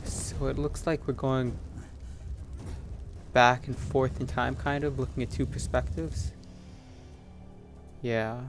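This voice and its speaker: A man giving casual let's play commentary as a gaming video host, rambling and thinking out loud. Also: medium pitch, steady tone, slow pace, pauses often, soft background music.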